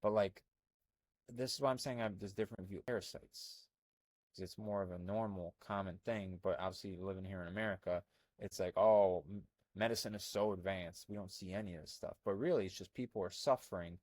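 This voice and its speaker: A young man from Albania, talking casually as a health coach while he reads through on-screen text. His voice is husky and sits a little low, and he rambles in an animated way with lots of fillers and restarts. The sound is dry and close, with no room around it. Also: no echo. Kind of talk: casual commentary reading from a screen.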